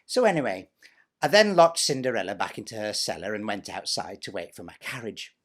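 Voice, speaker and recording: A man's voice: on the low side, lively intonation, fairly quick, fairly bright tone. A man voicing a vain, bossy, spiteful stepsister in a chatty, self-satisfied comic pantomime monologue.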